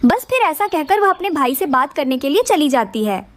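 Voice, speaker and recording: A woman's voice: high-pitched, big pitch swings, moderate pace, no echo, studio quality. A woman reading scripted narration for a children's moral-story cartoon.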